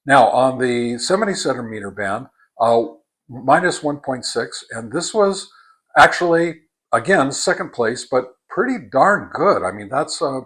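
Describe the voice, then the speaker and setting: A man giving a casual spoken product review in a halting, deliberate way, repeating words for emphasis as a hobbyist reviewer. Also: medium pitch, lively intonation, a bit bright, husky.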